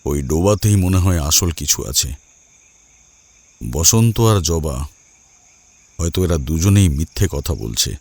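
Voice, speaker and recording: A man's voice: sits low, expressive, a little slow, pauses often, darkish tone. A man narrating a scripted first-person horror story in a dramatic, suspenseful manner.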